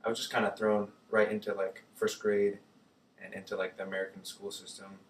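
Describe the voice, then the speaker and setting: A young man from Germany, a native German speaker, casually telling his life story in an interview, relaxed and full of fillers like "like" and "uh". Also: fairly low pitch, fairly quick, echoey room, far from the mic, a little hiss or hum, low-quality recording. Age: young adult.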